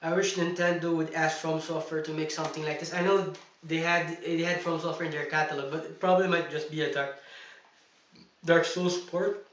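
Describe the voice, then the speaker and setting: A man's voice: on the high side, flat delivery, brisk, fairly bright tone, distant mic. A young man, a Tagalog speaker, chatting emphatically in a casual video game review and laughing between points, recorded indoors. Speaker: gaming vlogger. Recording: echoey room, mic set back.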